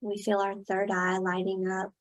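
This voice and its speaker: A woman leading a guided meditation in a soothing style, speaking in short, unhurried phrases with long pauses. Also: mid-range voice, monotone.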